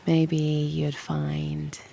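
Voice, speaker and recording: British woman, meditation guide, heavy vocal fry, breathy half-whisper, soothing, faint birdsong behind. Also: deep voice, monotone. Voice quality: breathy, often near a whisper. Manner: soothing, unhurried, long pauses between short phrases. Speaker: meditation guide and channel host. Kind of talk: slow guided yoga nidra meditation. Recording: faint background noise with birdsong. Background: birdsong and soft nature ambience.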